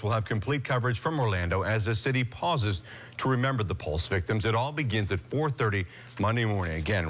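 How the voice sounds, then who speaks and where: A man's voice: on the low side, a bit bright. An American man working as a morning news anchor, moving between scripted news reading and casual banter.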